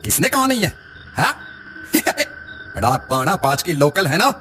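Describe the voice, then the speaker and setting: Crazed male ghost, horror cartoon: high, unhurried, eerie taunts with cackling. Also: sing-song, sharp tone, slight background noise, heavily acted. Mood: ominous warning. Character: crazed cackling ghost man warning of a haunted train. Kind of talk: animated horror story.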